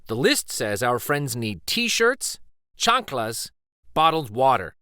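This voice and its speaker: A man reading a children's picture book aloud with expressive, deliberate storytelling delivery. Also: medium pitch, no echo, clean studio sound.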